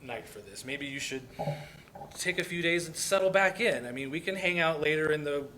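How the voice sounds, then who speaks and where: Halting, concerned young man played by an actor. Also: fairly high pitch, lively intonation, pushed and bright, a little hiss or hum, rough recording. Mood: hesitant concern. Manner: halting, apologetic. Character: hesitant, concerned young male friend. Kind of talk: dramatic play reading.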